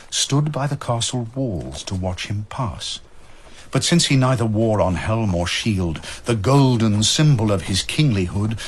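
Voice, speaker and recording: A man giving a scripted dramatic narration of verse, solemn and measured like a storyteller. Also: lowish voice, slight background noise.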